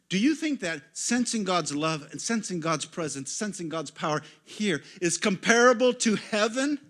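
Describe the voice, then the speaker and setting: High-voiced, bright preaching man. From United States. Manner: storytelling, swings from hushed asides to loud exclamations. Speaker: guest pastor. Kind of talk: sermon. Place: church.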